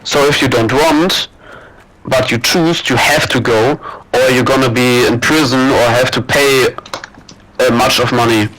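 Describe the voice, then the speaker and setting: A man's voice: medium pitch, steady tone, measured pace, noisy background. A man with a German accent, chatting casually over game voice chat and patiently spelling out words between many fillers. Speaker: gamer teammate. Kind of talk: casual voice chat while gaming.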